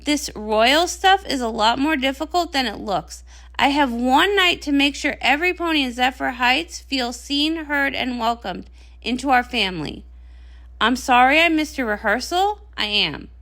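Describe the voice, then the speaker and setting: A woman's voice: high voice, expressive, normal speed, fairly bright tone. A woman voicing an earnest, stressed older sister pony princess in a comic read-along, apologetic and defensive.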